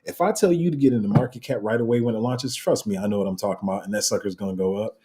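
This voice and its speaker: Deep-voiced man hosting a crypto livestream. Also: rapid-fire. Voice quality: deep rumbling voice. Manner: rambling and animated, voice jumping up when excited. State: slight cough. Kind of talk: casual livestream chat reading viewer comments.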